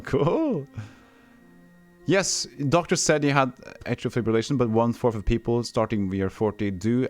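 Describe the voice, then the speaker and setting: Low-voiced man streaming a retro game. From Texas. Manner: chatty, reads game text aloud, answers chat. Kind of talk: casual gameplay commentary.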